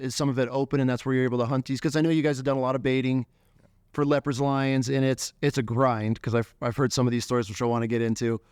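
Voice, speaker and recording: A man's voice: mid-range voice, a little mellow, clear, resonant tone, no room sound, studio quality. An American man hosting a podcast, asking questions eagerly in loose, restarting sentences. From United States. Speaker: podcast host, hunting guide. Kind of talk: casual chat.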